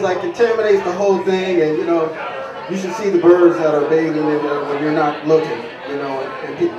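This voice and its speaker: High-voiced comic in an echoey bar. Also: male voice, far from the mic, highs cut off. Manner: addresses the crowd with direct commands. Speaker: stand-up comedian. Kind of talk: stand-up comedy set. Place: small bar stage.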